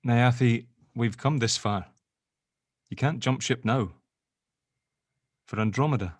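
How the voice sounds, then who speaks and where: A man's voice: medium pitch, slow, dry recording. A man playing a sardonic, joking sceptic in an audio drama table read, bantering informally. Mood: coaxing. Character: sardonic, sceptical spirit-dealing grave opener, gently joking.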